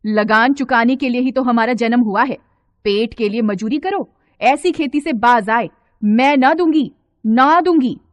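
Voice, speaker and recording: A woman voicing a poor farmer's sharp-tongued wife in an audio storybook, scolding with defiant, sarcastic emphasis. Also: fairly high pitch, measured pace, no echo, lightly acted. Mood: angry, sarcastic. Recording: faint noise with crickets.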